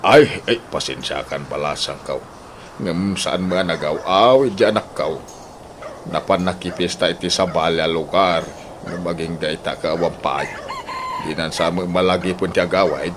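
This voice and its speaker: A man's voice: low-pitched, normal speed, a bit bright. A man with an Ilocano Filipino accent playing a polite, conciliatory older father in a radio drama. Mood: apologetic. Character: apologetic older father of the suitor. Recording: slightly noisy radio drama recording.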